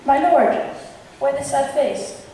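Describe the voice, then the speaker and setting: A woman's voice: high-pitched, expressive, slow, vocal fry, exaggerated voice. A woman in an amateur stage play, playing a loyal attendant who counsels a lord in an earnest, coaxing way. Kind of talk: amateur stage acting of modernized Shakespeare. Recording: distant, very echoey hall, faint noise.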